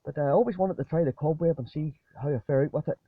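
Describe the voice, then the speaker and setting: A man's voice: fairly high pitch, fast talker, slightly rough, no room sound. A man chatting casually as an amateur radio operator over a two-way radio. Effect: two-way radio transmission. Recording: tinny narrowband ham radio link.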